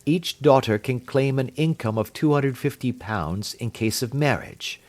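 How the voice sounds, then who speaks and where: A man voicing a calm, amused detective who speaks quietly and imperturbably. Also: medium pitch, unhurried, natural voice. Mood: explaining.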